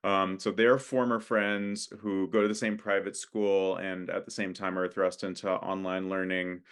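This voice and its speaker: A man, a playwright, introducing and reading from his own script in a careful, halting way. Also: low voice, clear, resonant tone.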